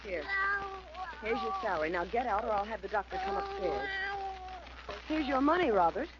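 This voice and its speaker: A commanding, indignant wealthy lady in a 1940s radio drama. She is sharp and imperious, with lively pitch. The recording is muffled and heavily noisy. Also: moderate pace.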